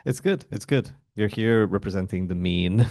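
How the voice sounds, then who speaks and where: A man's voice: fairly low pitch, moderate pace, a little mellow. A man hosting a panel discussion, introducing guests casually and laughing often. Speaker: host.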